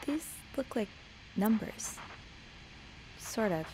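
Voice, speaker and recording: A woman's voice: fairly high pitch, expressive, slow, a little mellow, rough recording. Young female hotel maid, curious and anxious, natural mystery-game dialogue, hesitant delivery, faint noise behind. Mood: musing.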